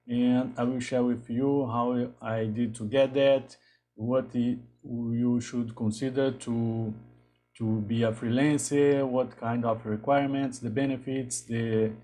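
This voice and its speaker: A man in his thirties from Brazil with a Brazilian Portuguese accent, talking casually on a live stream in a halting way with frequent restarts. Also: medium pitch, warm tone. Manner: halting, restarts often, greets viewers. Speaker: engineer hosting a live stream.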